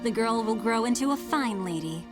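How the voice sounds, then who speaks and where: A woman playing a polite, genteel household tutor in a video game, gently amused in manner. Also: fairly high pitch, lively intonation, normal speed, music in the background, lightly acted. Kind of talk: video game cutscene acting.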